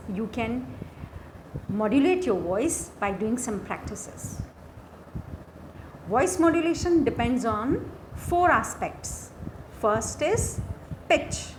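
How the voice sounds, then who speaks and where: Female lecturer, Indian accent, fairly high voice, very unhurried lesson narration in short phrases. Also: low-quality recording. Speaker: English lecturer. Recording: faint background noise.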